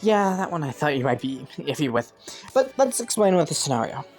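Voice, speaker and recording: Young prince female performer: low voice, courtly and calculating yet easily flustered, intimate roleplay addressed to the listener, music underneath. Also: big pitch swings, fairly quick, a little mellow. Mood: businesslike, composed. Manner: formal courtly phrasing with flustered stammers.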